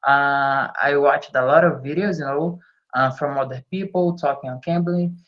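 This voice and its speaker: A man's voice: medium pitch, normal speed. Dull-sounding call, man practising English. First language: not English. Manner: hesitant, frequent small laughs. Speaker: English learner. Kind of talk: casual chat in a language lesson. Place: online video call.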